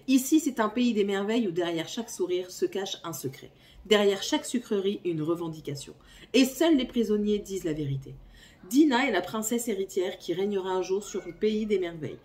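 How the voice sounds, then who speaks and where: A woman with a cold and a hoarse voice, chatting casually about books she plans to read and reading their blurbs aloud, coughing and apologizing now and then. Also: medium pitch, a little mellow, distant mic. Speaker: book YouTuber. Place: home.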